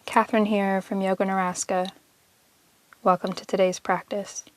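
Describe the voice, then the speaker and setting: A woman leading a guided yoga nidra meditation as the instructor, speaking in a calm, measured, soothing way with long pauses. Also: mid-range voice, no echo, low-quality recording.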